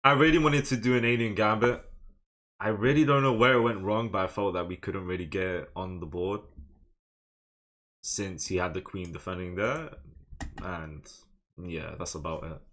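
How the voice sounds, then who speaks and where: A man's voice: deep voice, normal speed, pauses often, a bit bright, a little hiss or hum. A man with an English accent, streaming a game casually, half thinking aloud to himself and half chatting to his viewers. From England. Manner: muses moves half to himself, chats to viewers. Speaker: live streamer playing online chess.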